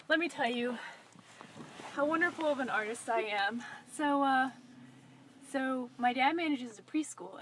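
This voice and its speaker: Young American woman, higher-pitched, telling a story. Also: a little hiss or hum, poor audio. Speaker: vlogger. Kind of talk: casual personal storytelling to camera. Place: inside a parked car at night. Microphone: phone at arm's length.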